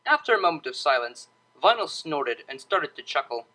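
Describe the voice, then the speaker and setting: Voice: mid-range voice, very animated, moderate pace, pushed and bright, rough recording. A narrator reading a piece of fiction aloud as scripted, dramatic audiobook storytelling.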